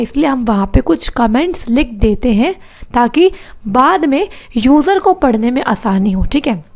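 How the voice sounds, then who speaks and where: A woman's voice: high voice, gravelly, darkish tone. A woman teaching programming in an online exam-prep class, with a sore throat, explaining step by step and repeating key points.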